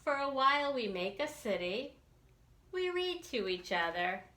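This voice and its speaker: A woman reading a picture book aloud for young children, expressively and with deliberate enunciation. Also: high-pitched, sing-song, pushed and bright, roomy sound, slight background noise. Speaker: children's librarian storytime reader.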